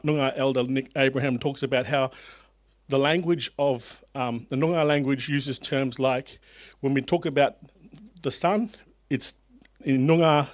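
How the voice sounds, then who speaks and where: A man's voice: medium pitch, dry recording. A man from North Queensland presenting as a university director at an academic conference, speaking hesitantly with many fillers and restarts. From North Queensland, Australia.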